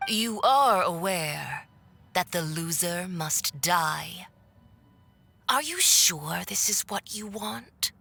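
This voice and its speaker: Mocking game villainess, unhurried, condescending, theatrical, music behind. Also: female voice, on the low side, animated, gravelly, sharp tone, heavily acted. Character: haughty, mocking female villain, diplomat of a foreign power. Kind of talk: video game cutscene voice acting.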